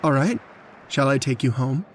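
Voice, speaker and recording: A man's voice: mid-range voice, animated, normal speed, a little hiss or hum, natural voice. A man acting in an old time radio drama as an earnest, talkative suitor with a hesitant, stammering delivery. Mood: chatty, casual. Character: talkative, earnest male suitor, a lovestruck hunter.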